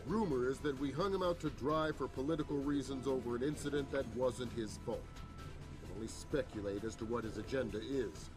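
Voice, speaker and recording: Actor as a measured, serious intelligence adviser. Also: male voice, mid-range voice, close to an everyday voice. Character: measured, analytical senior male intelligence adviser briefing a president. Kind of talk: video game cutscene acting.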